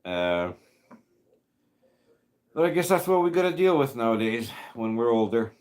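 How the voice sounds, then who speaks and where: A man in his fifties hosting a casual livestream chat, rambling and halting with many fillers as he answers viewers. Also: mid-range voice, animated, a little mellow. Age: 50s. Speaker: livestream host reading chat.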